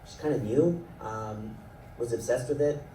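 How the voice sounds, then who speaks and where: A man's voice: mid-range voice, unhurried, warm tone. A man in his thirties from South Florida, speaking as a panelist at a festival in a rambling, self-deprecating way and laughing often. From South Florida, Ecuadorian family. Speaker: panelist, animation writer and creator. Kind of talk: panel discussion. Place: event panel hall. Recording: roomy sound from a distant mic, faint noise.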